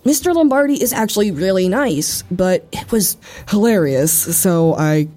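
A woman's voice: medium pitch, lively intonation, moderate pace, dark tone, soft background music, close to an everyday voice. A woman playing a cheeky, unrepentant schoolboy at confession in an audio comedy, breezy and flippant. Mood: sheepish, amused.

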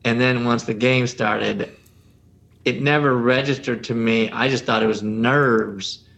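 Gravelly man asking slow questions. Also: mid-range voice, steady tone, fairly bright tone. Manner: thoughtful, slow, asks long questions. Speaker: podcast guest, coach. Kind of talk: casual podcast conversation.

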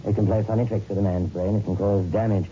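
A calm, patronising male doctor played by an actor in an old radio drama. His voice is low and very mellow, measured and soothing, on a muffled recording. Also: soft background music, lightly acted. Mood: soothing, grave. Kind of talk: 1960s radio drama acting.

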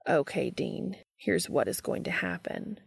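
A woman voicing a commanding, tender adult man in a romantic audio story, speaking low, slow and often in a whisper. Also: animated, creaky, slightly rough, heavily acted. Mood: hushed, commanding.

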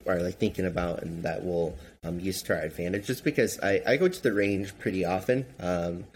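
A man's voice: low-pitched, a little fast, slight background noise. A man whose first language is Chinese, answering interview questions casually with many fillers and restarts. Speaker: guest, gun group member.

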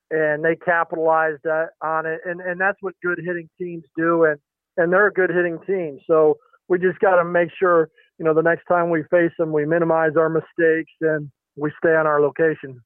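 A middle-aged pitching coach speaking by phone, halting, with many fillers and restarts. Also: male voice, dry recording. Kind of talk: phone interview. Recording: tinny phone line.

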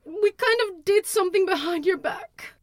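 A woman reading a storybook, voicing a bubbly, excitable teenage girl who talks in a gushing, dramatic way. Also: very high-pitched, normal speed, dry recording, studio quality, voice pushed up. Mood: pleading.

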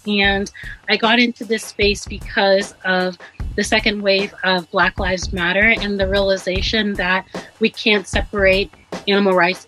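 A young woman from Nigeria, raised in Ohio, chatting as an interview guest with relaxed fillers and easy laughs. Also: medium pitch, low-quality recording. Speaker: guest, social justice advocate. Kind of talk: casual interview.

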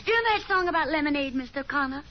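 High-voiced, genteel Southern mother. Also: animated, racing. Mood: sugary, bright. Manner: theatrical, effusive, reproachful. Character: genteel, overbearing middle-aged Southern mother, fussy and reproachful. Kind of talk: 1950s radio drama acting. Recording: muffled, noisy 1950s radio recording.

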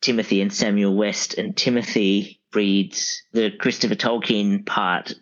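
A man speaking as an expert guest in a casual podcast discussion, explaining things in a rambling, halting way. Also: fairly low pitch, little pitch movement. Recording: dull, lo-fi audio.

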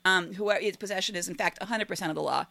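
A woman with a bright, gravelly voice chats on a podcast. The sound is dry and close, and she tells things in an animated, playful way. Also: medium pitch, very fast, no room sound. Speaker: podcast co-host. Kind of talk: casual podcast chat.

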